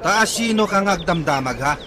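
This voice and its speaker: A high, swooping voice from a man acting out a boastful young hothead with an Ilocano accent. He blusters and picks fights in radio comedy style, over heavy noise and birdsong. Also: animated, normal speed. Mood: angry, challenging. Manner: blustering, confrontational. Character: vain, hot-tempered young boyfriend, stingy and boastful. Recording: very noisy audio with bird sounds.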